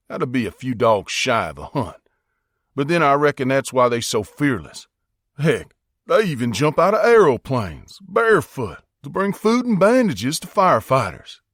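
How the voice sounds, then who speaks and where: A man voicing a calm, plain-spoken rural American man in an audiobook, with an unhurried folksy drawl. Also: mid-range voice, no room sound, clean studio sound.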